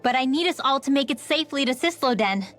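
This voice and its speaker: A woman's voice: high voice, lively intonation, quick pace, a bit bright. A woman playing a feisty, resentful young village girl in a video game cutscene, with a pointed delivery. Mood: earnest. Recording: music behind the voice.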